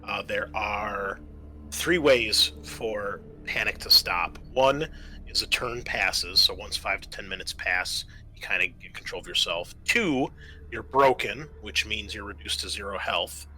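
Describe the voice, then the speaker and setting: Bright-voiced man running a tabletop game. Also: lowish voice, a little slow, slight background noise. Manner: explains rules and narrates player actions. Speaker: game master and host.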